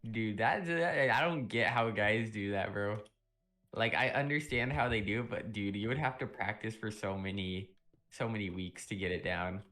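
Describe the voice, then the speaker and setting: A young man on a live stream, chatting casually and rambling with lots of fillers, a little sick and often clearing his throat. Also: lowish voice, moderate pace, sharp tone. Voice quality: vocal fry. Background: music playing.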